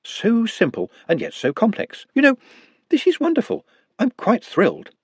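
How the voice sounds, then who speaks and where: A man voicing a timid, posh and very articulate small man in an audiobook, formal and precise, with a husky voice. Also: high-pitched, huge pitch swings, normal speed, no echo, pitched above the performer's own voice, full character voice. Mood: lecturing.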